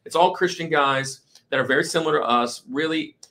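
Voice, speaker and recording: A man's voice: a little high, brisk, pushed and bright. A man hosting a podcast in an enthusiastic, casual way, often repeating his phrases for emphasis. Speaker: podcast host.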